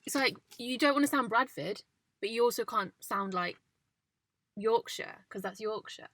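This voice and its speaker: A woman's voice: a little high, normal speed, a bit bright, no echo. A woman from England co-hosting a casual chat in a parked car, giggly and chatty. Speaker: podcast co-host.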